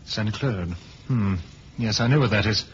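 An actor with a British accent playing a curt, commanding intelligence chief in a 1970s radio drama. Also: male voice, fairly low pitch, a little slow, lightly acted. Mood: brusque, determined. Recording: dull, hissy old radio recording.

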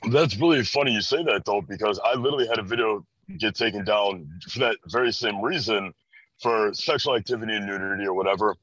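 A young American man in his early twenties, from Chicago, talks casually as a guest. His voice is on the low side but bright and forceful. The recording is close and dry, a bit dull and lo-fi. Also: fast talker, dry recording. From Chicago, USA. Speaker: interview guest. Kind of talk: casual chat. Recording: dry, slightly dull band-limited audio.

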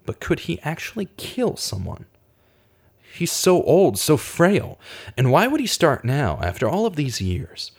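A man's voice: fairly high pitch, huge pitch swings, a little slow, creaky, breathy edge, full character voice. An actor playing a determined, brooding male investigator in a radio drama, earnest, dramatic and guarded. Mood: brooding, troubled. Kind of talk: radio drama acting with musing monologue.

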